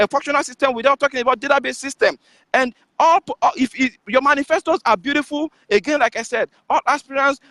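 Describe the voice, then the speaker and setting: A man's voice: very high-pitched, fairly bright tone, dry recording. A man, a guest author making an earnest, insistent appeal and repeating himself on a call.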